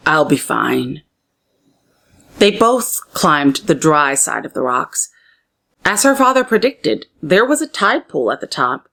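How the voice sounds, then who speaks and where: Woman, somewhat low voice, children's read-aloud, storyteller, expressive deliberate phrasing.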